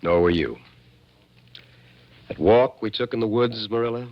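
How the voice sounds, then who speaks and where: An actor playing an earnest, bewildered man who narrates an eerie thriller in intense, deliberate 1940s radio style. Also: fairly low pitch, no echo, muffled recording. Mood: stunned, probing. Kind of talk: 1940s radio acting, dramatic first-person narration.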